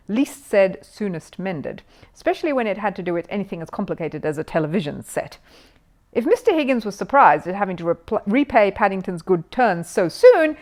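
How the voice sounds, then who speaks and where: A woman narrating a children's storybook in an expressive, emphatic storytelling manner. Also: medium pitch. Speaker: storyteller reading a children's book.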